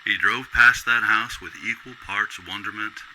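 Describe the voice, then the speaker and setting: A man reading scripted narration for a radio drama in a measured, descriptive storytelling manner. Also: fairly low pitch, fairly even intonation, low-quality recording. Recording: loud background noise.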